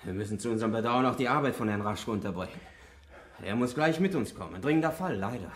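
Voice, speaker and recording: An actor playing a polite, businesslike plainclothes police detective in a 1960s German radio play. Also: male voice, mid-range voice, animated, quick pace, reverberant, natural voice. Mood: courteous, firm. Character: smooth, courteous male plainclothes police detective. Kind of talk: German radio play acting around 1960.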